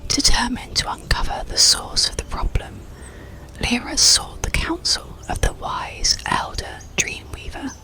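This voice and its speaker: A storyteller whispering a bedtime story and guided relaxation in a breathy, soothing, gentle ASMR manner. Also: extremely low pitch, huge pitch swings, rough recording. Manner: slow, soothing, gentle delivery.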